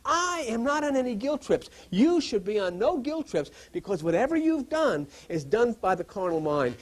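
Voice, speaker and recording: A man's voice: a little high, a little mellow. A man giving an impassioned metaphysical sermon as a spiritual teacher, exhorting conversationally and repeating phrases for emphasis.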